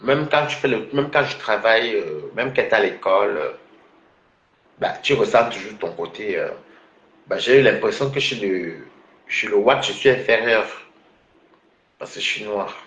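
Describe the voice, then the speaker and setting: A man's voice: on the low side, expressive, moderate pace, long pauses, a bit bright, echoey room, rough recording. A man from Cameroon in a casual, rambling personal monologue, full of restarts and fillers. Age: adult.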